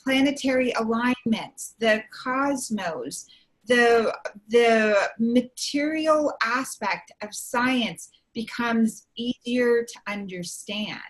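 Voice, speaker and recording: A woman hosting a video call, speaking in an earnest, deliberate way. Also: a little high, poor audio. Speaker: host. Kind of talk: casual chat.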